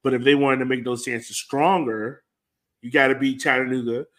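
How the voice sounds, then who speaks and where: A man's voice: mid-range voice, steady tone, normal speed, a bit bright. A man co-hosting a sports fan podcast, talking casually and informally with a resigned manner. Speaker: co-host of a soccer fan podcast.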